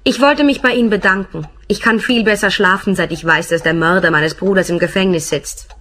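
A woman's voice: medium pitch, fairly quick, fairly bright tone, natural voice. An actress playing a coquettish, enterprising young woman for hire, teasing in a detective radio play. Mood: friendly, businesslike. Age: young adult.

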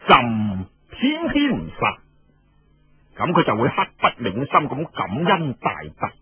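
A man voicing a sly, haughty, calculating emperor, deliberate and self-satisfied, in audiobook storytelling. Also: mid-range voice, huge pitch swings, breathy edge, dry recording, lo-fi sound, heavily acted. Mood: sly satisfaction.

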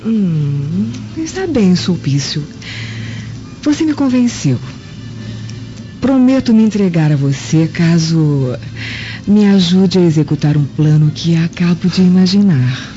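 A woman's voice: on the low side, animated, takes it slowly, mellow. An actress playing a scheming, seductive Roman noblewoman in a radio drama, with an insinuating, calculating manner. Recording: muffled lo-fi audio with music.

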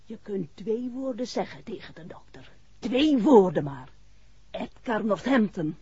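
A defiant mum in an old 60s radio play, husky voice, muffled sound. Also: female voice, medium pitch, big pitch swings, measured pace, dark tone, full character voice. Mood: insistent, curt. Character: stubborn, defiant working mother, refusing a shady errand. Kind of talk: 1960s Dutch radio drama acting. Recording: dull 1960s radio recording.

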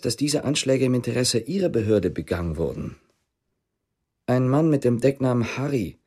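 A husky, determined male investigator. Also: medium pitch, a little mellow. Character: determined East German male investigator, guarded and grave. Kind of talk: German radio drama acting.

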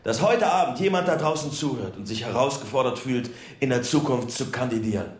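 An actor playing a middle-aged, plain-spoken townsman, a humble and reluctant political candidate giving a halting, earnest speech in a radio drama. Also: mid-range voice, lively intonation, slight background noise, natural voice. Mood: heartfelt. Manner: halting, unpolished, earnest public speaking. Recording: echoey public hall sound.

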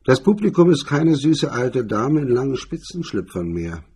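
A man voicing a haughty, scornful female film star in a crime radio drama, husky and disdainful. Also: mid-range voice, big pitch swings, moderate pace, a little mellow, voice pushed up. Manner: disdainful, snide, affected.

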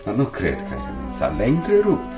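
A very low-voiced, solemn gentleman. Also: wildly swooping pitch, music in the background. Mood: courteous, insistent. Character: grave, anguished uncle, devoted music master, ominously formal. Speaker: radio drama actor. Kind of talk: Romanian radio theatre acting.

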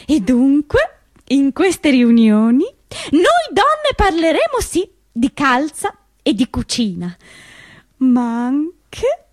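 A woman's voice: very high-pitched, dry recording, studio quality, exaggerated voice. An actress playing a zealous communist woman who lectures girls on emancipation in a didactic, declamatory stage manner. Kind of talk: theatrical monologue on stage.

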